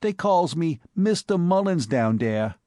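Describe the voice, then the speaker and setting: A man voicing a brash, streetwise young male crook with a New York Bowery accent and slangy, excitable talk. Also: a little high, measured pace, dry recording, studio quality, voice pushed up. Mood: boastful.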